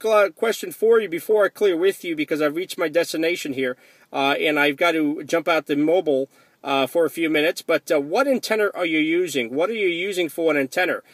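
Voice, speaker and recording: A man's voice: fairly high pitch, a little fast, no room sound. An American man in a casual, chatty ham radio conversation, speaking with enthusiasm from inside a car. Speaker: amateur radio operator.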